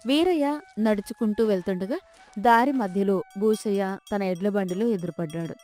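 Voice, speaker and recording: A woman's voice: mid-range voice, normal speed. A woman narrating a scripted folk tale as a storyteller. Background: soft music.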